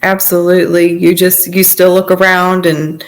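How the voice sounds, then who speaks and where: A woman with a slightly low voice talks as a podcast guest. She gives personal testimony casually, in halting phrases that trail into one another. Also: flat delivery, rough recording.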